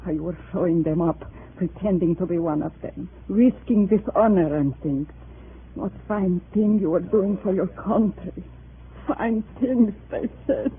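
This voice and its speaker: Anxious old-time radio mom, plaintive broken phrasing, muffled 50s recording, little bit of hiss. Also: female voice, on the low side, a little slow. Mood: wistful. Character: anxious, wounded immigrant mother of a grown son. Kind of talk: 1950s radio melodrama acting. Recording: muffled vintage radio recording, faint noise.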